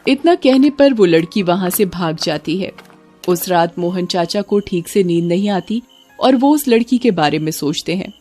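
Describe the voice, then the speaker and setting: A woman's voice: fairly low pitch, lively intonation, moderate pace, warm tone, soft background music. A woman narrator telling a scripted children's story.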